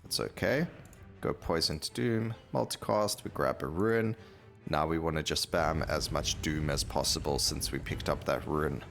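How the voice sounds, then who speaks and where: A man's voice: extremely low pitch, normal speed, creaky, a bit bright. A man giving casual gameplay commentary, rambling and thinking aloud as he plays. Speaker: gaming video host. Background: game music.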